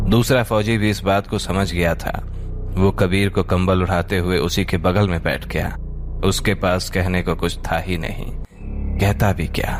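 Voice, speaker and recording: A man narrating a scripted audio story in a dramatic style. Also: sits low. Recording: music behind the voice. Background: background music.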